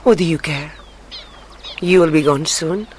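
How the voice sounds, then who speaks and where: A woman's voice: low-pitched, measured pace, a little hiss or hum. An actress playing a guarded, proud young South American country woman in a radio drama, speaking in a reserved, direct way. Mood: wistful, challenging.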